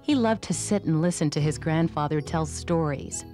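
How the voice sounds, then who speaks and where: A woman's voice: sits low, moderate pace, dark tone, faint music behind. A woman reading scripted narration for a children's storybook. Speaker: storybook reader.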